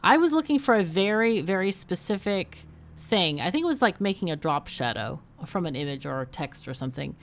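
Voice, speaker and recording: Low, creaky woman streaming a game. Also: sing-song, a little fast. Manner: chatty, thinks aloud while playing. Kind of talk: casual livestream gameplay commentary.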